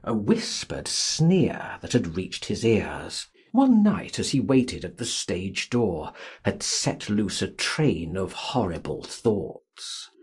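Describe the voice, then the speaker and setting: A man narrating a literary audiobook in a dramatic, expressive and measured way. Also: on the low side, breathy edge. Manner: theatrical, expressive, measured reading.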